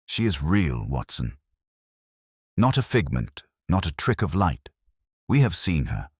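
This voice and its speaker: A man voicing a keen, calm Victorian gentleman detective in a storybook, speaking formally with quiet authority. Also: very deep voice, a little slow, slightly rough, no echo, clean studio sound, exaggerated voice. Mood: assured.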